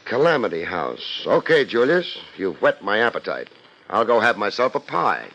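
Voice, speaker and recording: An actor playing a laid-back, wry private detective in a dry 1950s radio style, on a noisy vintage recording. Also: male voice, on the low side, big pitch swings, moderate pace, highs cut off. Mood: wry, curious.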